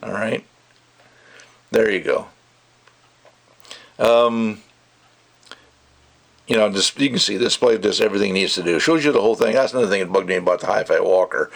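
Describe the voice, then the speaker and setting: A man speaks in a slightly low voice with plenty of gravelly creak. He rambles casually as an amateur reviewer, loose and conversational, and freely offers his opinions.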